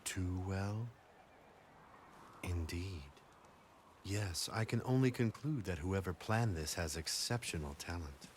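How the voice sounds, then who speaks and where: An actor playing a cold, arrogant male strategist in a video game cutscene, speaking in a haughty, measured way. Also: low voice, lively intonation, slight background noise. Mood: cold disdain. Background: game music score.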